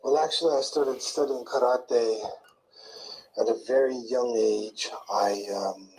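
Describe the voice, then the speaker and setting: An older man slowly recalling his past in a soft, murmuring, rambling way, often trailing off. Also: mid-range voice, steady tone, slight background noise, low-quality recording. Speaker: interviewee in played clip. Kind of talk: slow rambling recollection.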